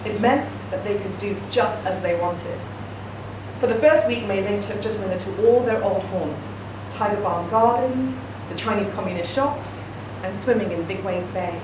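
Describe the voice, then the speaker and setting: A woman's voice: mid-range voice, moderate pace. A woman reading a short story aloud at a live reading event, narrating steadily. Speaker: reader. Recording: echoey room, distant mic, noisy.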